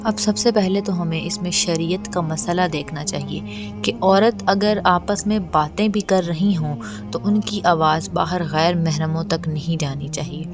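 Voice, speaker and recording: A woman speaks as a religious lecturer in a fairly low voice. She explains and admonishes in a didactic way, with music clearly playing behind her. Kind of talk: religious explainer talk to camera or microphone. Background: background music.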